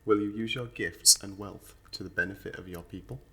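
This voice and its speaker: A man's voice: lowish voice, fairly quick, fairly bright tone, poor audio. A man playing a solemn officiant of a fantasy noble court in an audio roleplay, speaking in a stately, formal, declamatory manner.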